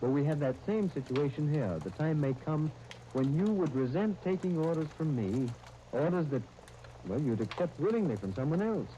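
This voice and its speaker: An actor in a 1930s radio drama playing a captain, an earnest, measured older brother, on a noisy old broadcast disc. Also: male voice, a little high, lively intonation, dark tone, highs cut off, close to an everyday voice. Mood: earnest, reasoning. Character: British army captain, dutiful reluctant older brother.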